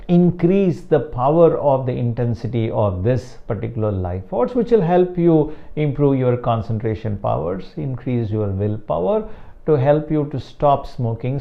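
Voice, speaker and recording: An older man from South India with a Tamil-accented English, a meditation teacher speaking slowly and deliberately about his guru. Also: medium pitch, creaky, muffled recording. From Chennai, South India. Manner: slow, deliberate, emphatic on key words. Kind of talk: reflective talk to camera.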